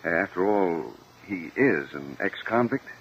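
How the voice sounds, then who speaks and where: An actor playing a cautious, courteous gentleman in a 1950s radio drama, polite and a little hesitant. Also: low voice, animated, slightly rough, heavily acted. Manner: polite, measured, apologetic, hesitant. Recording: noisy, muffled 1950 radio broadcast.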